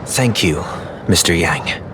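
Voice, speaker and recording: A man voicing a courteous, formal young gentleman in a video game. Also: deep voice, lively intonation, slow pace, background noise. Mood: polite, pressing. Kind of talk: scripted video game voice acting.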